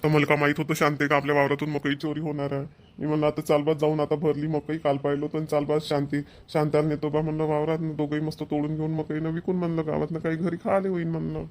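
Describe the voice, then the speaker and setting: A man's voice: on the high side, completely flat delivery, fast, darkish tone, close to an everyday voice. A man voicing a worried, grumbling farmer husband in a village cartoon. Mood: baffled, upset. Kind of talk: Marathi village cartoon comedy dialogue.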